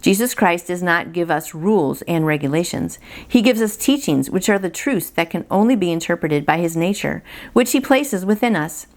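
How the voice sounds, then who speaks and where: A woman reading a devotional in an earnest, conversational way, with casual asides. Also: on the low side. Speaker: devotional host and reader.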